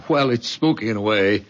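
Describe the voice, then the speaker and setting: A man's voice: lowish voice, unhurried, lightly acted. An actor playing a polite, educated young man in a radio drama, explaining things in an earnest, hesitant way. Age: young adult. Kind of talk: old radio drama acting. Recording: muffled old radio recording.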